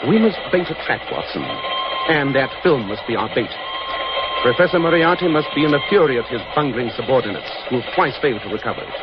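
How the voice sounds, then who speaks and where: An actor playing a shrewd, commanding English gentleman detective in a 1940s radio drama, speaking precisely and with authority. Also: mid-range voice, expressive, fairly quick, lightly acted. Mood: confident, scheming. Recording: dull, noisy 1940s radio recording.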